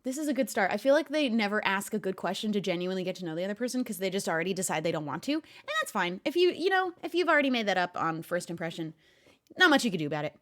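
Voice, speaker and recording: A woman's voice: medium pitch, very fast. A woman streamer giving casual reaction commentary, laughing often as she talks. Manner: giggly, animated, talks to a pet.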